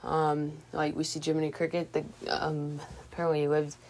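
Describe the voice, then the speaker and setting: A woman's voice: sits low, monotone, moderate pace, a little mellow. A woman hosting a podcast in a casual, informal way, hesitantly thinking aloud as she goes. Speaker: podcast host. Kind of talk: casual movie news chat.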